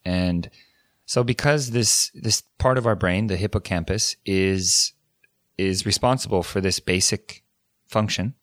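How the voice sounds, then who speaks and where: A man's voice: low-pitched, a little slow, a bit bright, no room sound, clean studio sound. A man co-hosting a podcast on language learning, explaining ideas in a thoughtful, casual way with frequent restarts. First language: English. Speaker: podcast co-host. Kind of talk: casual educational chat.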